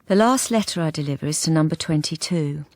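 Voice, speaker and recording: A woman's voice: low-pitched, expressive, brisk, no echo. An actress playing a wistful, lonely wife narrating her life in an intimate, reflective radio drama monologue. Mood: wistful, hushed. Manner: intimate, reflective, softly hesitant. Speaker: lead character and narrator.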